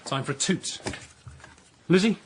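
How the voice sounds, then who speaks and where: An actor playing Guy, a brash, petulant young man who shouts and sneers at a rival. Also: fairly high pitch, expressive, unhurried, noisy background. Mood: mocking. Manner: loud, petulant and mocking. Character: brash, sore-losing young adman Guy. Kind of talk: radio drama acting.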